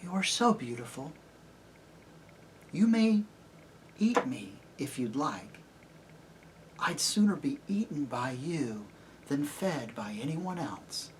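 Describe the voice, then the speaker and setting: A man voicing a timid, gentle, devoted female horse in a storybook reading, soft and hushed. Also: fairly high pitch, sing-song, takes it slowly, long pauses, background noise. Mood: awed, devoted.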